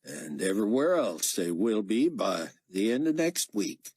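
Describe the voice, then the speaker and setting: A man's voice: on the low side, very animated, unhurried, darkish tone, breathy edge, no room sound, heavily acted. A man playing a brash young security chief in an audiobook, blunt and casual but eager to please. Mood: businesslike.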